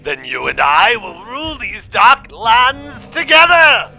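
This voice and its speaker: Gravelly, menacing dark overlord. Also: male voice, very high voice, very animated, soft background music, exaggerated voice, poor audio. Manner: slow, menacing, gravelly command. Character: sinister dark dragon overlord, commanding and cruel. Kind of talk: fan dramatic reading.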